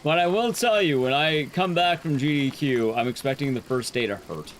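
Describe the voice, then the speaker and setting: A young man streaming to his chat, talking casually, rambling and chatty with sudden jumps in pitch. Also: animated, normal speed, a little hiss or hum, low-quality recording.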